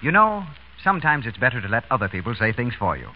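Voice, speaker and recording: A man's voice: mid-range voice, very animated, fast. An American man announcing and selling on a 1930s radio show with polished, emphatic delivery. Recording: dull, faintly noisy vintage radio.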